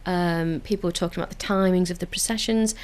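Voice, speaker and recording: A woman's voice: on the low side, steady tone, quick pace, darkish tone. A woman with a Liverpool accent, speaking in an earnest, enthusiastic way in a radio studio interview about community work. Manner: earnest, enthusiastic, with frequent filler restarts. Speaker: council community engagement officer.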